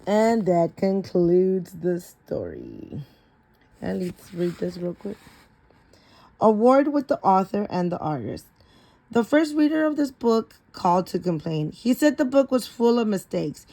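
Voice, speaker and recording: Slow-paced woman reading a picture book aloud. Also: mid-range voice, very animated, creaky, dark tone, poor audio. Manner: stumbles over harder words and corrects herself. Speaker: story reader.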